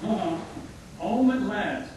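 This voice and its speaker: A man voicing a folksy, gentle frontier father in a puppet show, telling things earnestly. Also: high voice, expressive, slow pace. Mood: sheepish. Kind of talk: scripted church puppet show dialogue. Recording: echoey room, distant mic, faint noise.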